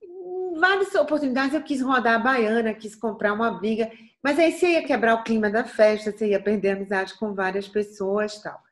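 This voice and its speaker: A soft-voiced woman with a light Bahian accent, middle-aged, speaking a little higher than most women. She talks thoughtfully and earnestly, circling back with small restarts and tag questions as an interview guest. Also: brisk. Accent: Bahian Portuguese. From Bahia, Brazil. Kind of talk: reflective interview talk.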